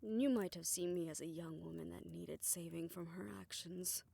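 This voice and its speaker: A woman playing a tender, self-assured older woman in a romantic audio roleplay, speaking in a reflective, gently formal way. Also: lowish voice, normal speed, creaky, husky, full character voice. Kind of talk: romantic audio roleplay, intimate scripted monologue.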